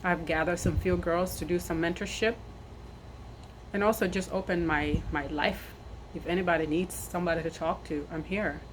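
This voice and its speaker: A woman in her thirties from South Sudan, a nurse, speaking earnestly to camera outdoors in the wind, measured and repeating phrases for emphasis. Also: lowish voice, fairly even intonation. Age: 30s. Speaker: nurse and army veteran sharing her story. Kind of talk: personal address to camera. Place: outdoors, windy. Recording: noisy outdoor recording with wind.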